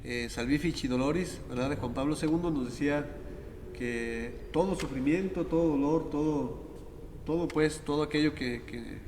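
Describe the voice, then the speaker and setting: Priest with a reverberant sound. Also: male voice, a little high, moderate pace, a little mellow. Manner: thoughtful, many tag questions. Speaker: priest and podcast host. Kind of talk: reflective conversational podcast. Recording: echoey hall with background noise.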